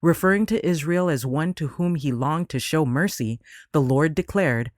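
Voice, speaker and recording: Higher-pitched man reading devotional lesson. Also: moderate pace, no echo, studio quality. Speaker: lesson reader.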